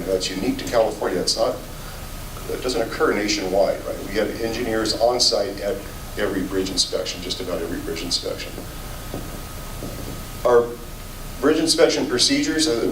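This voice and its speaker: A hoarse, fairly low-voiced man works through a technical talk at a public meeting, pausing on filler sounds as he goes. He is caught from a distance in an echoey hall with a faint hiss underneath. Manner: measured, technical, with frequent "uh" fillers. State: hoarse from a cold. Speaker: state bridge inspection office chief.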